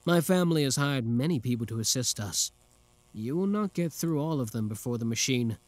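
A man's voice: mid-range voice, expressive, fairly quick, a little hiss or hum, lightly acted. A young man voicing an obsessive, lovesick young male villain in a theatrical, brooding audio roleplay. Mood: taunting. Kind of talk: roleplay audio drama monologue.

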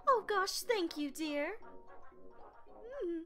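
Lady doing a doting goat monster mom, high n sweet, gushy, swoopy pitch. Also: expressive, drawn-out words, bright, forceful tone, soft background music, exaggerated voice. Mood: delighted. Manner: sweet, gushing. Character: doting, motherly adult female goat monster. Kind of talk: comic dub voice acting.

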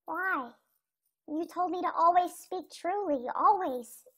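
A woman's voice: extremely high pitch, slow, bright, forceful tone, heavily acted. A woman acting a curious, pleading little daughter at bedtime in a radio play.

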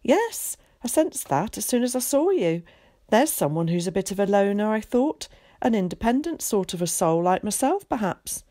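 A bright, eager schoolboy spills out friendly chatter in a raised, lively voice, as a woman reader puts him on. The words bounce up and down in pitch, and the sound is close and dry with no room around it. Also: no echo. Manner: quick, bright, well-spoken chatter.

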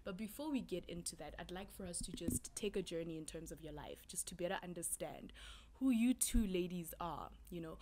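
A woman hosts an interview, asking long questions that loop back and repeat themselves. Her voice is lower than most and a little soft. A faint hiss runs under a small indoor room. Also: expressive, a little fast, few pauses. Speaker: interview host. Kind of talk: casual interview. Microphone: handheld mic passed between speakers.

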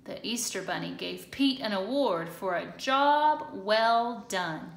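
A woman reading a picture book aloud to children in an expressive, deliberate way, recorded in an echoey room. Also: mid-range voice. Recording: echoey hard-floored room.

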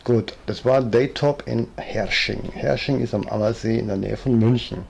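A man in his fifties with a Bavarian accent, telling his story in a rambling, chatty way in a living room, often trailing off with fillers, with a low-quality home recording. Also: fairly even intonation, normal speed, gravelly, a little mellow, a little hiss or hum. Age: 50s. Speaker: vlogger. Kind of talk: casual storytelling monologue, reading aloud. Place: living room, seated in armchair.

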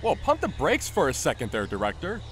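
Man acting a brash, high, animated fighter. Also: a little fast, big-room sound, faint music behind. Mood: indignant. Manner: emphatic, excitable. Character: brash, indignant, burly male fighter. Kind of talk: scripted cartoon acting.

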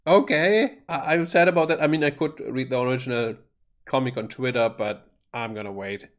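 Halting man doing casual reaction commentary. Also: medium pitch. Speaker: reaction video host. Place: small indoor home room.

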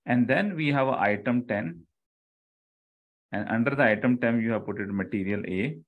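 A middle-aged man with an Indian accent gives a live online lecture. His voice sits at an average pitch. He explains as an instructor does, repeating key phrases and often checking that listeners follow. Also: normal speed, narrow, tinny audio.

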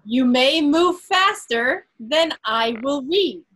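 A woman acting as quizmaster, reading quiz questions aloud to an online group in a deliberate way, pausing between phrases. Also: sits high, lively intonation, fairly bright tone. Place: indoors on a group video call. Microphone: video call microphone.

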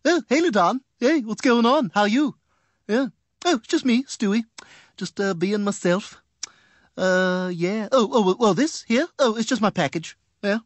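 A man voicing a precocious, flamboyant little boy in animated comedy, with a hammy, melodramatic delivery. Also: sits high, normal speed, no room sound. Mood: awkward, showy.

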